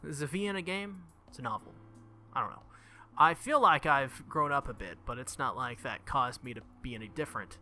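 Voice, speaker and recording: A man's voice: medium pitch, animated, a little fast, sharp tone. A man reading visual novel narration aloud and reacting casually as a gaming video host, with music behind him. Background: game music.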